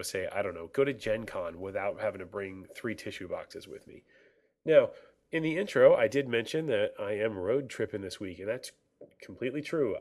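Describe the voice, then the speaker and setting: Man, podcast host, lower voice, congested and froggy from a cold, rambling chat, ums and restarts. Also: darkish tone. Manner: rambling, self-deprecating, many fillers and restarts. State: stuffy from a cold. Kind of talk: casual solo podcast monologue. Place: dorm room on a college campus.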